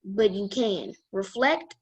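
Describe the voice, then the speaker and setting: A woman guest reader reading a children's book aloud in a deliberate way. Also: mid-range voice, husky.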